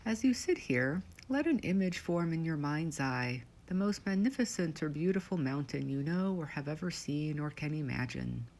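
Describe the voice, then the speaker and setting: A woman leading a scripted guided meditation in short, measured, soothing phrases with pauses between them. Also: sits low, animated, darkish tone.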